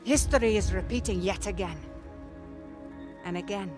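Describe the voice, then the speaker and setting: An actress playing a stern, weary, ancient woman mentor who speaks in measured, formal phrases in a dramatic game cutscene. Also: mid-range voice, natural voice. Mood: grave, resolute. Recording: music under the voice.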